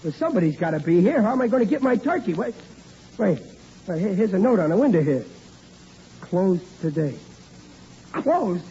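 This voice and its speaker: High-voiced, bumbling 1940s sitcom dad. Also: male voice, sing-song, moderate pace, pauses often, warm tone, slightly rough, heavily acted. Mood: flustered indignation. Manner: stammering, repeats words, exasperated bluster. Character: bumbling, blustering sitcom dad, scheming and flustered. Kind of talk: 1940s radio comedy acting. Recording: dull, noisy vintage radio recording.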